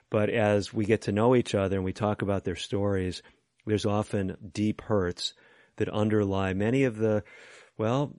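A man, a physician, hosting an interview with measured, conversational questions. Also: fairly low pitch, darkish tone, no room sound, clean studio sound.

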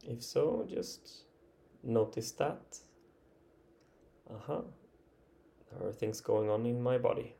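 Slow, gravelly man guiding a relaxing meditation. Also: mid-range voice. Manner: gentle, unhurried, halting with long pauses. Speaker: guided meditation teacher. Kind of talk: guided relaxation meditation, improvised.

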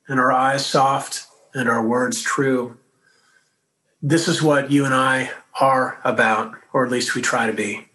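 Bright-voiced man preaching gently. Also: mid-range voice, poor audio. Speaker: minister. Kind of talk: sermon.

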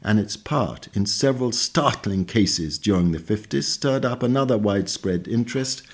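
A man reads a scripted literary essay in a measured, bookish way. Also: lowish voice, animated, husky. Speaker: reader of a magazine essay. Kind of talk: scripted narration, read essay.

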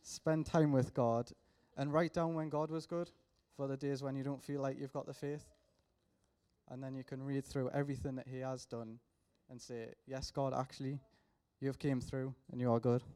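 Flat-voiced man preaching. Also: medium pitch, normal speed, smooth. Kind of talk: sermon.

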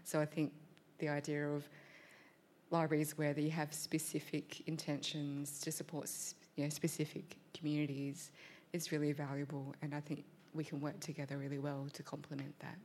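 A woman speaking on a panel, thoughtful and measured, with frequent pauses and ums. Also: low voice, monotone, a little mellow, slight background noise. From Australia. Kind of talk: panel discussion.

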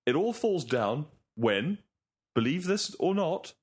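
Man, podcast co-host, casual chat, playful, joking, lively pitch. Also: slow, slightly rough.

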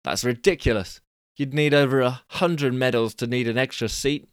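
A man voicing a laid-back male barman, relaxed and unbothered. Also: medium pitch, moderate pace, dry recording, clean studio sound, close to an everyday voice.

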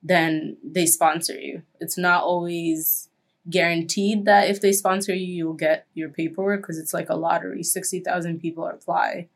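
Lower-voiced young woman chatting casually. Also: fairly even intonation, normal speed. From Kenya. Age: 20s. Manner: chatty, frequent "like" and "um" fillers. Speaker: guest. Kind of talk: casual interview chat.

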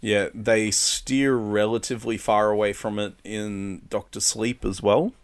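A man's voice: on the low side, steady tone, a little slow, smooth. A man co-hosting a casual film chat, explaining films with enthusiasm. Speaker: podcast co-host, film reviewer.

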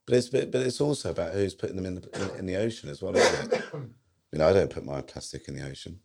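A man with a very deep voice talks quickly as a podcast guest. He rambles and jokes and often restarts his sentences mid-thought. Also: creaky, a little mellow, slight background noise. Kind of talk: casual chat.

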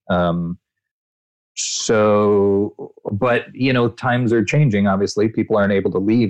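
Man, low pitch, dry close sound, animated anecdotes, voice actor guest. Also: dry recording. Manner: animated, expansive anecdotes with restarts. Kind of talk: interview answers, storytelling.

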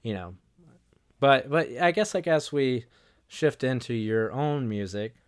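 A man hosting a podcast interview, asking questions in a relaxed, hesitant way with many fillers. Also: mid-range voice, unhurried, clean tone. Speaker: podcast host. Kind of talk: casual interview chat.

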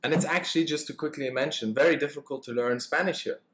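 A man's voice: a little high, fast talker, a bit bright. Man in casual chat. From Germany. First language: German. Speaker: panel guest. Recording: echoey room.

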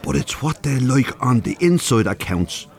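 Animated older Dublin binman, plain-spoken. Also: medium pitch, moderate pace. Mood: indignant. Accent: north Dublin. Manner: earnest, folksy, bantering. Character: plain-spoken, good-hearted older retired binman, naive and chatty. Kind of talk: naturalistic radio drama acting.

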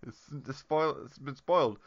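A podcast co-host chatting loosely, halting and restarting with little chuckles. His middle-pitched voice comes through a muffled, lo-fi remote line. The talk is playful and unscripted. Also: a bit bright. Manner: halting, restarts a lot, laughs at own jokes. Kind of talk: casual comedic chat. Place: remote call across the Atlantic.

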